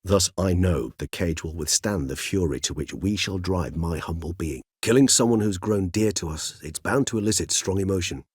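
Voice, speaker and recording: An actor playing a courteous, erudite, world-weary centuries-old vampire in a video game, speaking in measured, eloquent, formal phrases. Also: male voice, low voice, darkish tone, husky, dry recording, clean studio sound. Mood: grave, earnest. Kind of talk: video game character dialogue.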